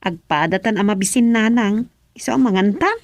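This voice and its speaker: A woman's voice: medium pitch, expressive, fairly quick, no room sound, lightly acted. A woman with an Ilocano Filipino accent acting as an inquisitive, nagging young village daughter in a radio serial. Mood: mildly fretful.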